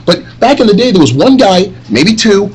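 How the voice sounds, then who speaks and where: A man's voice: sits high, big pitch swings, normal speed, slightly rough. A man talking casually and emphatically as a panelist on a podcast recorded live. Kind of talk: casual group chat. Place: live at a comic convention. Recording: live convention table, light background noise.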